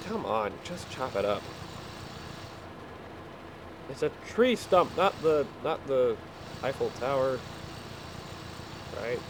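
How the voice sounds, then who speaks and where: A man casually telling a rambling story while playing a game, halting and repeating words as he goes. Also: medium pitch, background noise. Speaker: video game player telling stories.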